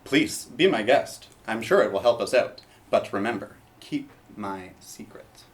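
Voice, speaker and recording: Man playing a secretive low-voiced fox in disguise. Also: animated, measured pace. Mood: furtive, polite. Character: secretive male fox actor disguised as a human union rep. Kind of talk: scripted mock-interview comedy.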